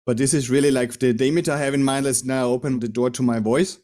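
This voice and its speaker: Man, Austrian German accent, podcast host, warm mellow tone. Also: mid-range voice, fairly even intonation, fast talker. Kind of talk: conversational interview.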